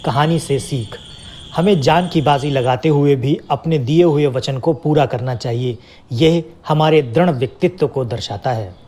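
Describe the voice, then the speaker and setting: A man's voice: mid-range voice, slight background noise. A man narrating a scripted children's moral story as a storyteller.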